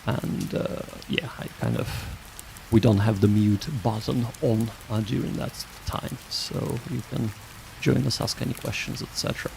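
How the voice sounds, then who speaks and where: A man hosting a livestream, chatting casually with viewers in a halting, rambling way that often restarts sentences. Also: on the low side, fairly even intonation, creaky, a little mellow, slight background noise, low-quality recording. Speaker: livestream host.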